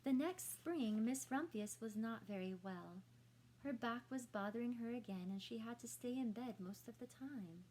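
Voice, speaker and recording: A woman's voice: fairly high pitch, mellow, slightly rough, low-quality recording. A woman teacher reading a picture book aloud to children, adding explanatory asides and questions for young listeners.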